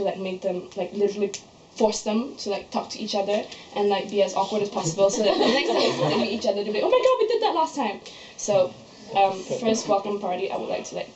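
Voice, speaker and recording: A woman's voice: medium pitch, a little mellow, slight background noise. A young woman from Malaysia, a student standing for a society post, talking through her nomination speech and answering questions in a chatty way full of fillers. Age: young adult. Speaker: candidate for student society office. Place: student society meeting room. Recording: echoey room, dull lo-fi sound.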